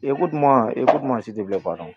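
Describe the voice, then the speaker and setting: A man speaks quickly on a live-stream debate and keeps asking whether he has the floor. His pitch sits in the middle. Faint noise runs under his voice. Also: lively intonation, highs cut off. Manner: quick, questioning, asks for the floor. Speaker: debate participant.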